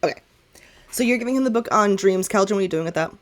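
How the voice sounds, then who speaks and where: A woman's voice: medium pitch, rapid-fire. A woman running a tabletop roleplay game as game master, chatty and informal, with playful asides. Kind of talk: casual tabletop roleplay narration.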